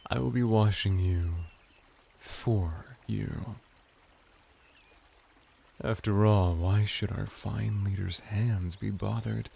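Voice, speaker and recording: A man's voice: lowish voice, creaky, warm tone, slight background noise, heavily acted. A man playing a flirty, deferential merman bathhouse attendant in an ASMR roleplay, teasing and soothing as he talks.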